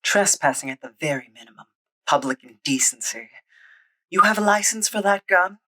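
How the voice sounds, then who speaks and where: A woman's voice: on the low side, animated, moderate pace, bright, forceful tone, rough recording. A woman playing a stern, bossy police officer in a comic book dramatization, curt and commanding.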